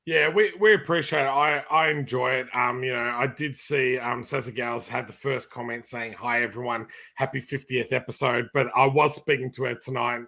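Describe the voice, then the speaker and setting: Croaky Australian man, bright voice. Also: medium pitch, fairly even intonation, moderate pace, hardly any pauses. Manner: laid-back, laughing, many fillers. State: hoarse, croaky. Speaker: podcast co-host. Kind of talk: casual live-stream chat.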